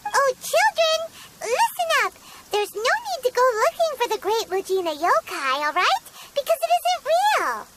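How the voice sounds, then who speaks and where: A woman's voice: very high voice, big pitch swings, normal speed, heavy background noise. A woman voicing a tiny, childlike fairy companion in a video game, fretful and scolding, speaking of herself in the third person. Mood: earnest, scolding. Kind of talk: video game character dialogue. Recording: loud background music.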